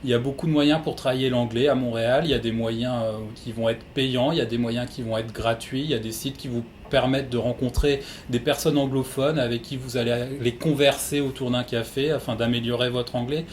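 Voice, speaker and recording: A man's voice: mid-range voice, fairly even intonation, brisk, hardly any pauses, slight background noise. A man working in human resources, giving methodical, practical advice to newcomers in an interview.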